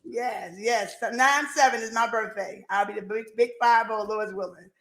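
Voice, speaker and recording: Bright-voiced woman host in an echoey room. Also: fairly high pitch, normal speed. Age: 40s. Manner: animated, repeats phrases, faith-filled talk. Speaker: podcast host. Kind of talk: casual chat.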